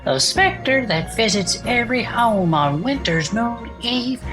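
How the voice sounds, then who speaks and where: A woman playing a folksy, sentimental gnome who hosts a comedic podcast about cryptids, talking in an effusive, drawn-out way. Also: fairly high pitch, lively intonation, music in the background. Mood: spooky, dramatic. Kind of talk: improvised comedic fiction podcast.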